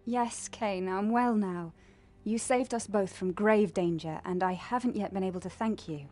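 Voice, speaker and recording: A woman playing a calm, mysterious heroine in a fantasy video game. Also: medium pitch, moderate pace, faint music behind, natural voice. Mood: gentle, grateful. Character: calm, mysterious woman seeking her true origins.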